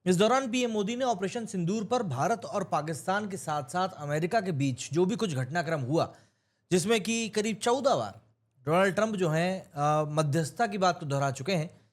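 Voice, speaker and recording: An Indian man giving an opinionated news explainer, speaking emphatically and mixing in English terms. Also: high-pitched. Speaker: news commentator.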